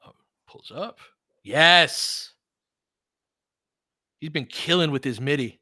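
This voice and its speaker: A man doing casual fan play-by-play of a game as a host, in an excitable, slangy style with some swearing. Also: fairly high pitch, slow, dry recording, studio quality. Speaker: sports podcast host calling a game.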